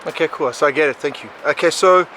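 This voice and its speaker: Animated South African man telling stories outdoors. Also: on the high side, fast, rough recording. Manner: animated, rambling, laughs often. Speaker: podcast guest. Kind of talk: casual conversational storytelling. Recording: outdoor recording with clear background noise.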